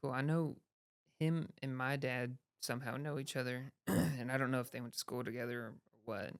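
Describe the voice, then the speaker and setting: A woman hosts a relaxed podcast chat, with a smooth, clean voice at an ordinary pitch. She chatters freely, dropping plenty of likes and yeahs. The mic is close and dry. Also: moderate pace, no echo. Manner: chatty, says yeah and like a lot. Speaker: podcast host. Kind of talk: casual interview chat.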